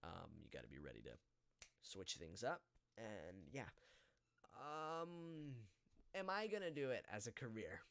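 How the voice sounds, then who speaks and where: A teenage male high school student hosting a casual podcast, talking in an earnest, halting, rambling way. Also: big pitch swings, vocal fry, a bit bright, no room sound. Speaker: podcast host. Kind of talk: casual live podcast chat.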